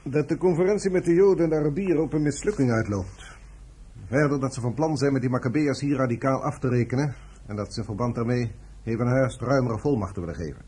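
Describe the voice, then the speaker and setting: A man's voice: medium pitch, fast, dark tone, breathy edge, lightly acted. A man acting a formal, dutiful British intelligence officer in a Dutch radio play, clipped and deferential. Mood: formal, matter-of-fact.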